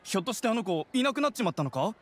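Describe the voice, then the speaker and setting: An easygoing young merchant, his slightly raised voice moving lively up and down. He talks helpfully in game dialogue with a faint hiss behind. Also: sits high, racing. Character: friendly young male merchant.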